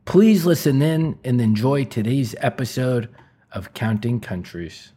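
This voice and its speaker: A man hosting a travel podcast, reading a scripted introduction and then asking his guest curious, measured questions. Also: mid-range voice, expressive, warm tone, slightly rough. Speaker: podcast host.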